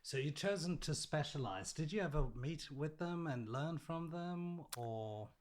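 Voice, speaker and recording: A man's voice: a little high, lively intonation, measured pace. A man hosting a podcast, reading the introduction and asking short interview questions. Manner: reads sponsor script, asks short questions.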